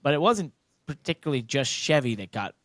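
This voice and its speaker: Emphatic American man, higher-voiced, close dry sound. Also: big pitch swings, a little fast, fairly bright tone, dry recording. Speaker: podcast host, racing driver. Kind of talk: casual sports podcast discussion.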